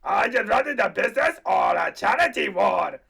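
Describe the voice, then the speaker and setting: Shouting miserly monster villain. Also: male voice, high-pitched, animated, moderate pace, gravelly, slightly rough, exaggerated voice. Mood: angry, bullying. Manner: shouted, bullying, mean. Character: booming, snarling, miserly monster tycoon villain. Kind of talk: comedic radio play acting.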